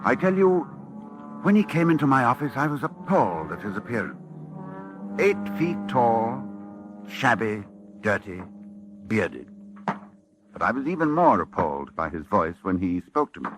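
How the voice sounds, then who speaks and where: Man playing a grave scholar, animated delivery. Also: medium pitch, natural voice. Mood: appalled. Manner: formal, grave, dramatic pauses. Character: educated male university librarian doctor, grave and appalled. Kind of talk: scripted first-person narration, 1940s radio horror. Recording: old radio drama with music behind.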